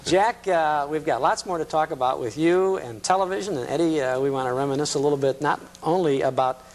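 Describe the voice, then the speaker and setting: A man's voice: fairly high pitch, fairly quick. A man hosting a television talk show, chatting casually with guests in a studio. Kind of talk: casual interview chat.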